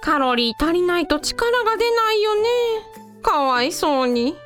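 ラジオドラマで、優しくおっとりした思いやりのある母親を演じる女性。. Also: female voice, extremely high pitch, sing-song, moderate pace, fairly bright tone, faint music behind, heavily acted. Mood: sympathetic. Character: gentle, easygoing, sympathetic mother. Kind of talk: amateur anime-like radio drama acting.

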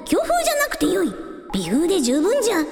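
Woman doing a boastful young dragon prince, high lively voice, archaic lordly talk, echoey radio drama. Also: fairly quick, full character voice. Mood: proud. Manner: old-fashioned lordly phrasing, boastful. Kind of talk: Japanese radio drama acting. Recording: echoey, long reverb, music underneath.

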